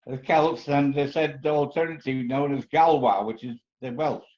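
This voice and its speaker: A man joining a video-call lecture, speaking in a chatty, halting way. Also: medium pitch, fairly even intonation, darkish tone, lo-fi sound. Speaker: lecture participant. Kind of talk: casual discussion.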